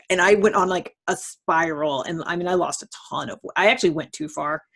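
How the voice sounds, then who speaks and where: A woman's voice: lowish voice, normal speed, creaky, a bit bright, slightly rough. A woman hosting a casual, conversational interview, talking in an informal, slangy way and acting out quotes as she goes. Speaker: host.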